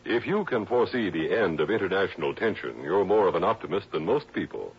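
A man's voice: low-pitched, animated, fairly quick, noisy background. A man reading a scripted 1960s radio network promo as an announcer. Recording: muffled old radio recording.

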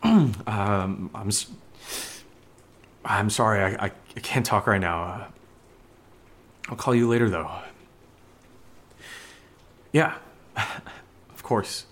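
An actor playing a frightened, exasperated man in a horror audio drama, stammering and halting when nervous. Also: on the low side, lively intonation, husky, full character voice. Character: Steve, frightened, exasperated man investigating a creepy house.

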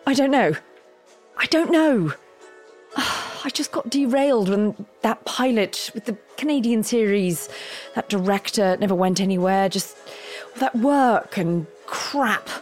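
A woman plays an excitable wife in a naturalistic audio drama. Her delivery is very animated, flustered and effusive, full of self-doubt, with faint music behind her. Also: mid-range voice, unhurried, natural voice. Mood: dejected.

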